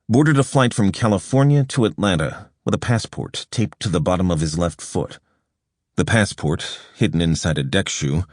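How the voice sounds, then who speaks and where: A man narrating a nonfiction audiobook in a measured, even storytelling delivery, recorded close in a studio. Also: low voice, dry recording. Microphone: close studio microphone.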